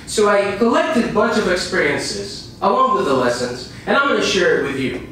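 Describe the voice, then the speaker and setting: High-voiced man lecturing in an echoey room. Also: sing-song, bright, forceful tone, a little hiss or hum. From Iraq. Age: 30s. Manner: earnest, repeats lines for emphasis, speaks with pauses. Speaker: motivational speaker. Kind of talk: live seminar talk. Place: dim small room with a projector screen and a small audience. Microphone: far camera, no visible mic. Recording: echoey room recorded from far off.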